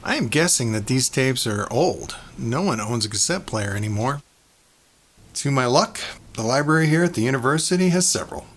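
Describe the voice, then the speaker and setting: An actor playing a fussy, rambling neuroscientist who records a personal log in a halting, conversational way. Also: male voice, medium pitch. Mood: rambling, curious. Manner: halting, digressive, conversational asides. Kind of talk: audio drama monologue as recorded log.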